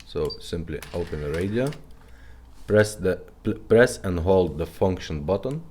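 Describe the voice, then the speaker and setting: A man's voice: sits low, lively intonation, unhurried, a little mellow, a little hiss or hum. A man giving a casual, step-by-step product demonstration in an instructional way. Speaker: presenter demonstrating retrofitted car radios.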